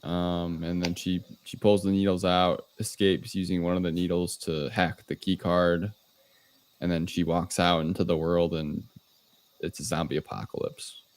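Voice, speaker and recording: A man's voice: low voice, little pitch movement, moderate pace. A man co-hosting a podcast, casually recapping a film in conversation. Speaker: podcast co-host. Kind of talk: casual movie recap discussion.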